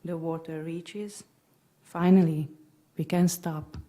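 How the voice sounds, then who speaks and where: A woman's voice: sits low, little pitch movement, slow pace, warm tone. A woman from Hungary with a Hungarian accent, a visiting writer chatting about her stay and reading a poem aloud. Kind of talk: poetry reading. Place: public library event room.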